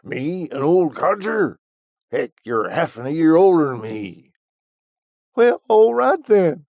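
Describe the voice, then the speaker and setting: A shrill, squawky old ranch hand, portly and easily riled. He goes high and loud and rambles indignantly, sounding a touch distant from the mic. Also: very animated, takes it slowly, no room sound, clean studio sound, exaggerated voice. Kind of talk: audiobook character voice.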